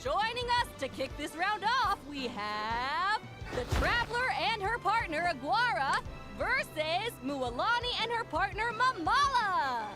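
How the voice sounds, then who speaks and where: Excitable female ring announcer, video game, grand, rousing, swooping high pitch. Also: unhurried, exaggerated voice. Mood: showy. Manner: theatrical, rousing. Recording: game music behind the voice. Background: game music.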